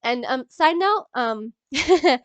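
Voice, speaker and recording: A young woman with a stuffy nose from a cold, rambling excitedly in a casual movie reaction vlog, full of ums and little laughs. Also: high-pitched, takes it slowly, no room sound. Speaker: YouTuber.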